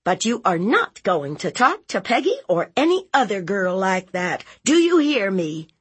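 A woman's voice: medium pitch, very animated, unhurried, fairly bright tone, slightly rough, voice pushed up, exaggerated voice. A woman voicing a fierce, blunt, protective aunt in a story, scolding in an emphatic, folksy, interrogating way. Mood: commanding.

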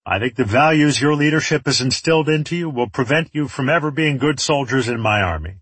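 A man voicing a menacing male warlord in an audiobook, taunting and mockingly genteel in a theatrical, drawn-out way. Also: mid-range voice, fairly bright tone, breathy edge, no room sound, voice pushed up. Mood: cruel, amused.

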